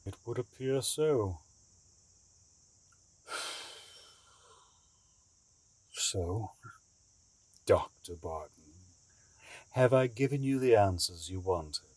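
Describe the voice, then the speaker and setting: Low-voiced, grave male schemer played by an actor. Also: lively intonation, a little mellow. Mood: measured, formal. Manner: measured, cryptic, formal. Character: grave, secretive male schemer, an escaped captive bargaining. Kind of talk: audio drama acting.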